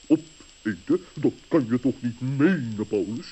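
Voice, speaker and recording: A man's voice: medium pitch, lively intonation, unhurried, warm tone, breathy edge, soft background music. A man voicing a pompous, wordy owl in an old children's radio play. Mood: solemn. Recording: dull muffled old radio recording.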